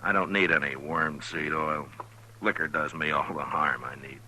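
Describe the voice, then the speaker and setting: Deep-voiced, wry frontier marshal. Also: male voice, fairly even intonation, normal speed, sharp tone, exaggerated voice. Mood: wry, skeptical. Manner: dry, sardonic. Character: frontier US marshal, gruff, wry, unhurried lawman. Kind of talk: 1950s radio western acting. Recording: old radio broadcast, hum and hiss.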